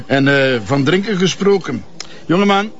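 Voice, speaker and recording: An actor with a Flemish accent playing a jovial, pushy government minister in a radio drama, chummy and insistent. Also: male voice, high-pitched, expressive, moderate pace. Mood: expansive, commanding. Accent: Flemish, from Bruges. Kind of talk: naturalistic radio drama dialogue. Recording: dull, band-limited radio drama recording.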